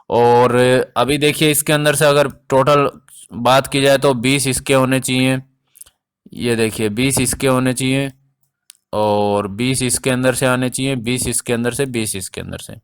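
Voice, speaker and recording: A man's voice: medium pitch, a bit bright, clean tone. A man explaining exam updates informally as a coaching teacher, addressing students directly and repeating points for emphasis.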